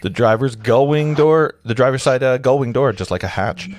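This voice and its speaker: A man's voice: medium pitch, moderate pace, noisy background. A man narrating screenplay directions in an informal live read, stumbling and correcting himself with amused asides.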